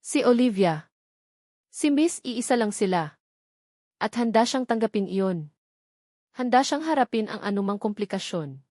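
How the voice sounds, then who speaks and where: A woman's voice: medium pitch, lively intonation, unhurried, long pauses, darkish tone, dry recording. Woman narrating a dramatic story, dry.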